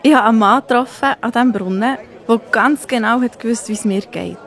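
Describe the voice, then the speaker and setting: A woman's voice: fairly high pitch, brisk, some chatter behind, natural voice. A woman playing a young biblical woman in a children's audio drama, speaking expressively with a Swiss German accent. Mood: excited, amazed. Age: young adult.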